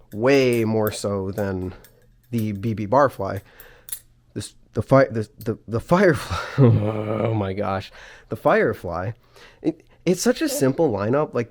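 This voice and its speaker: A man's voice: fairly low pitch, darkish tone. A man hosting his own channel, talking in a casual, rambling vlog style with a plugged-up nose from a cold. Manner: chatty and rambling, often restarting sentences. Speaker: host of a knife channel.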